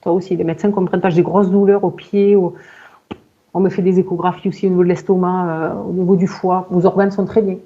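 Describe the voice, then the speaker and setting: A woman in her forties giving a halting personal account in conversation, searching for words and often repeating herself. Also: on the low side, flat delivery, dark tone, dull audio. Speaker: client in a hypnosis session interview.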